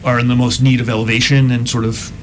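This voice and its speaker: A middle-aged man with an American accent giving measured, explanatory interview answers as a chef, often checking in with "right?". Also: mid-range voice, flat delivery, a little hiss or hum. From New York, United States. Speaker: chef and restaurant consultant, interview guest.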